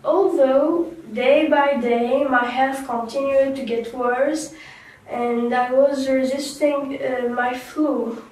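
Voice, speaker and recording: A woman playing a young guest in a staged talk show, recounting her story slowly and haltingly with restarts, recorded far off in an echoey room. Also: high-pitched, steady tone, darkish tone. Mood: earnest. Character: young woman survivor, remorseful and soft-spoken. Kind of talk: scripted role-play interview.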